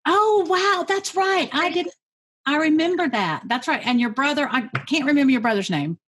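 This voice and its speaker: A woman's voice: sits high, lively intonation, moderate pace, fairly bright tone. A woman hosting a podcast, upbeat and encouraging toward her guest. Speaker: podcast host. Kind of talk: casual interview hosting.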